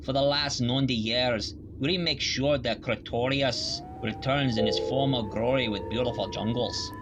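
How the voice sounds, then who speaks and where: A man's voice: mid-range voice, fairly even intonation, normal speed, music in the background, lightly acted. A man playing an earnest second-in-command researcher in a fan audio drama. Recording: noisy ambience behind the voice.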